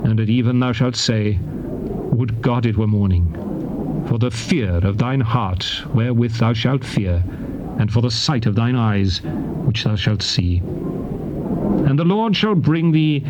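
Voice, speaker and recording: A man's voice: mid-range voice, lively intonation, close to an everyday voice. Man acting a solemn prophet, noisy tape. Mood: ominous. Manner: grave, declamatory, measured oratory. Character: Moses, solemn male prophet warning his people of curses. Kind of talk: dramatized scripture reading, formal archaic English. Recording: noisy old cassette tape transfer.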